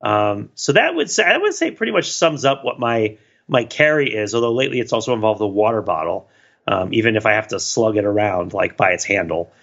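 A man co-hosting a podcast in casual, rambling chat, talking in an animated, self-deprecating and digressive way. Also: on the low side, fairly quick, highs cut off. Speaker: podcast co-host.

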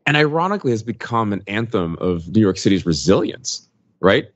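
A man's voice: fairly low pitch, very animated, fairly quick, dry recording. A man from the United States chatting as a podcast panelist, emphatic and opinionated. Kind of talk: casual discussion. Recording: dull, dry lo-fi audio.

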